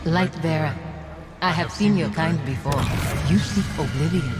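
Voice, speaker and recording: An actor playing an ominous, cryptic spectral summoner in a video game, making portentous declarations. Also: male voice, a little high, normal speed, close to an everyday voice. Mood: accusing, ominous. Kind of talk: scripted video game dialogue. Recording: loud music behind the voice.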